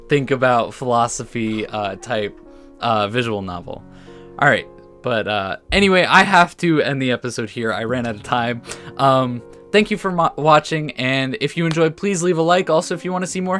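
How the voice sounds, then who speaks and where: A man gives informal gameplay commentary in a conversational, slightly halting way. His voice sits at an ordinary middle pitch. Music plays behind him as he talks like a channel host. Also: fairly bright tone. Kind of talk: casual let's play commentary. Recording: music under the voice.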